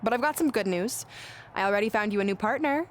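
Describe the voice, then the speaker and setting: A woman acts a self-satisfied, scheming wrestling boss for a game cutscene. Her medium-pitched voice is mock-sweet and taunting. Faint ambience runs behind it. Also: animated, fast, a little hiss or hum, natural voice. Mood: smug. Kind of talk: video game cutscene acting.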